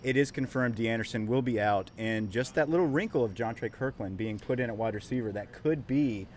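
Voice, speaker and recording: A man's voice: medium pitch, fairly quick, slight background noise. Laid-back male sports podcast host. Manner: relaxed, rambling with fillers. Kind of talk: casual sports podcast chat.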